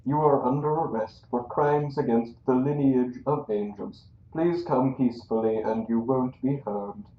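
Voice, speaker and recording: An actor playing a coolly authoritative arresting officer in an audio drama, formal and politely menacing. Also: male voice, medium pitch, unhurried, hardly any pauses, close to an everyday voice. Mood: cold, courteous. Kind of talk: scripted audio drama acting. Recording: echoey, dull muffled sound.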